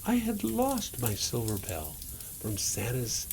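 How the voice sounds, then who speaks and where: A man reading a children's story aloud in a theatrical, drawn-out way, as a Santa performer. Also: mid-range voice, wildly swooping pitch, creaky, a little mellow, slight background noise, low-quality recording. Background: music.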